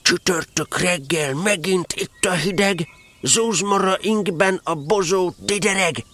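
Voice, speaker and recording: A man's voice: sits high, fairly bright tone. A man voicing a naive, friendly little bear in a children's audio drama, earnest and a bit hesitant. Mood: earnest reciting. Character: slow-witted, friendly, dreamy little male bear. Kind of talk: children's audio drama acting, reciting verse.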